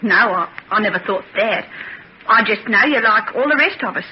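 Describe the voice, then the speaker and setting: An actress as a streetwise female thief on the run, blunt and wary. Her pitch moves freely as she talks in slangy old radio drama style. The sound is muffled, with faint noise behind it. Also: expressive, normal speed, lightly acted. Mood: suspicious. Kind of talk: old-time radio serial acting.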